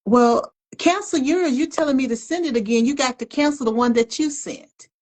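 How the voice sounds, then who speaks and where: A woman's voice: high-pitched, normal speed, a little mellow. A woman co-hosting a casual video-call chat, playfully teasing and restarting her words. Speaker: co-host.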